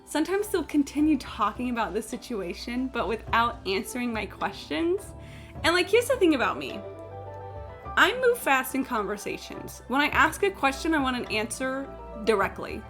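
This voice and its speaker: A woman's voice: sits high, moderate pace. A woman livestreamer chatting casually during a game, telling rambling anecdotes in a blunt, sweary way full of filler "like"s. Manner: blunt, sweary, animated storyteller, frequent "like". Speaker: live streamer. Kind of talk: casual gaming stream chat, rambling anecdotes. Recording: game music playing behind the voice. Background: video game music.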